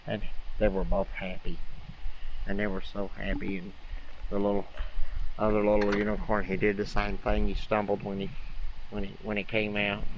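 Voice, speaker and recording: A man telling an improvised comic bedtime story in a casual, halting way, often repeating and rephrasing himself. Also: on the low side, monotone. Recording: dull lo-fi audio with faint noise.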